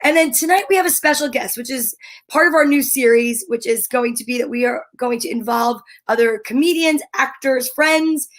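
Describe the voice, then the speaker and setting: High-voiced woman hosting a live show. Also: normal speed. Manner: chatty, enthusiastic. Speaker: show host. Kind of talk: casual live-stream hosting.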